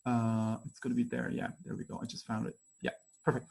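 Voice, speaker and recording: A man with a Turkish accent giving an online conference presentation, halting, with many fillers and apologies. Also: mid-range voice, monotone, creaky, a little mellow, slightly rough.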